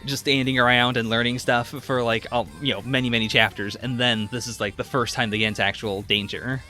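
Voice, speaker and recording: A man's voice: mid-range voice, flat delivery, brisk, pushed and bright. A man co-hosting a podcast, casually discussing anime in conversation. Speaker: podcast host.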